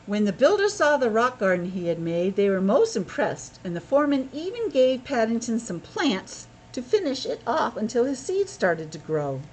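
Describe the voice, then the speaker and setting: Animated woman reading a storybook aloud. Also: fairly high pitch, normal speed, few pauses, slight background noise, poor audio. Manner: expressive, explanatory, encouraging. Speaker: schoolteacher reading a picture book aloud to children. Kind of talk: read-aloud storytelling with simple asides explaining the pictures.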